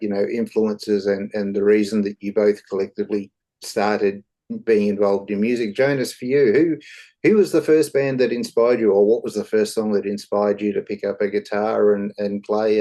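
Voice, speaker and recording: A man's voice: fairly low pitch, fairly even intonation, moderate pace, mellow. A man interviewing musicians, asking long, rambling questions. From Australia. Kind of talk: casual interview.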